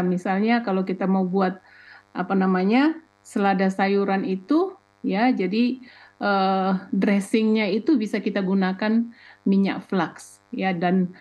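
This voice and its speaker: A woman on a talk show, explaining as a guest grower. She often asks herself questions and fills her talk with pauses. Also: medium pitch, highs cut off.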